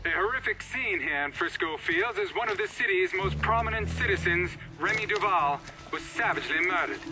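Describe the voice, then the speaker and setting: An actor playing an earnest on-scene news reporter in a game, with formal, dramatic 1960s broadcast delivery. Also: male voice, sits high, sing-song, a little fast, vocal fry, over loud music, heavily acted, low-quality recording. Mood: urgent.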